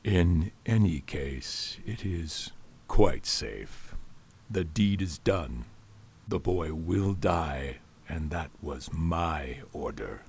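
An actor playing a scheming male doctor and poisoner in a radio drama, formal and quietly menacing. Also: very deep voice, expressive, very slow, vocal fry, breathy edge, full character voice. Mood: calm.

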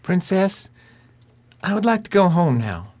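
A man's voice: sits high, big pitch swings, measured pace, darkish tone, full character voice. A man playing a polite, homesick ranch boy in a radio drama, earnest and plain-spoken.

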